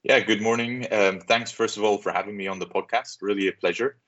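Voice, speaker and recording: Interview guest, young man, deep register, casual halting answers, slightly muffled audio. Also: fairly even intonation, a bit bright. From Switzerland and Southeast Asia. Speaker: podcast guest, business leader. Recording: dull, muffled lo-fi sound.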